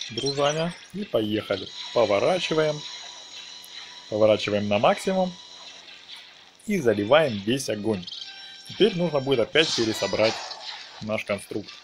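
A man with a mid-range voice hosts a gameplay video. He narrates what he is doing in short, informal phrases. Music runs clearly behind his commentary. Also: mid-range voice. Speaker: gaming video host. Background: game music.

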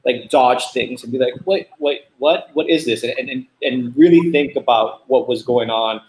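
A man's voice: moderate pace, a little mellow. Earnest man on a roomy video call. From Puerto Rico. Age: 30s. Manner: earnest, many restarts, rising pitch when making a point. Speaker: panelist.

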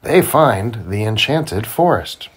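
A man reading a children's storybook aloud to his child in simple, deliberate phrasing. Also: on the low side, sing-song, slightly rough. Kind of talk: children's read-aloud narration.

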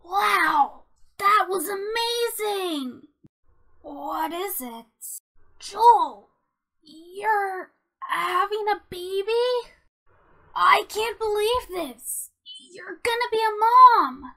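A woman's voice: sits high, slow pace, long pauses, bright, forceful tone, exaggerated voice. A woman voicing an earnest, timid young boy angel in an emotional, hesitant way for a character voice reel. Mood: amazed, excited. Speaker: amateur voice actor.

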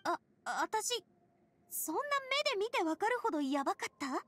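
A woman's voice: very high-pitched, lively intonation, normal speed, fairly bright tone, no room sound. A woman voicing a sincere, vulnerable young woman boss in a Japanese anime game, with music behind her. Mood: fragile, uneasy.

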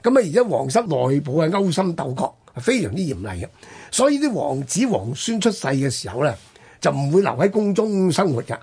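A man's voice: a little high, big pitch swings, a little fast, a little mellow, husky. A man with a Hong Kong Cantonese accent telling history conversationally as a radio host, explaining with rhetorical questions and interjections.

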